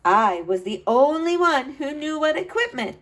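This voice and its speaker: A woman's voice: sits high, very animated, unhurried, fairly bright tone, voice pushed up, full character voice. A woman reading a storybook as an earnest, adventurous young boy who tells his own tale dramatically. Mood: grave, dramatic.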